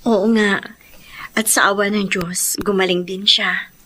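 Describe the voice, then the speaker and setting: A woman's voice: mid-range voice, little pitch movement, unhurried, bright, forceful tone. A young woman acting as a devoted, self-sacrificing elder sister in a Filipino radio drama, with earnest, emotional delivery. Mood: earnest, hopeful.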